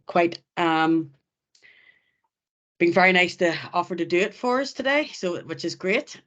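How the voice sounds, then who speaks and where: A woman, an account manager, introducing a webinar over a video call in a halting way with fillers. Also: deep voice.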